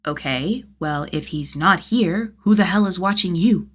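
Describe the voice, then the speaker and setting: A woman's voice: fairly low pitch, unhurried, a bit bright. A woman voicing a sardonic young man, a vigilante who talks in casual, teasing slang in a story reading. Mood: exasperated. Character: gruff, sardonic young man vigilante, wryly fond of kids.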